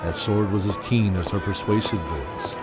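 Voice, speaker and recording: A man giving scripted narration for a fantasy radio drama in a steady, descriptive storytelling manner. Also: low-pitched, normal speed. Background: loud music.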